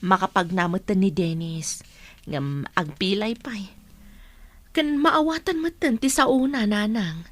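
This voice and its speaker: A woman's voice: medium pitch, a little slow, no echo, lightly acted. A woman playing a caring, burdened middle-aged mother in an audio drama. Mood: concerned, patient.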